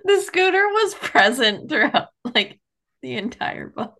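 A woman's voice: high voice, sing-song, a little slow, fairly bright tone. A woman co-hosting a book podcast, chatting casually and candidly, peppering her sentences with "like". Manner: conversational, heavy use of "like", candid.

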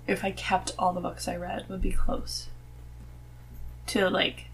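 A woman's voice: lowish voice, monotone, measured pace, vocal fry, low-quality recording. Woman chatting casually over background noise. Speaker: podcast guest, host's wife. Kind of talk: casual conversational chat.

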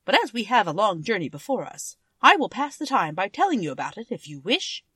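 A woman voicing a cheerful winged monkey king, telling his band's history in an expressive storybook manner. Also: mid-range voice, a little fast, breathy edge, dry recording, clean studio sound. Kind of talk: children's storybook character narration.

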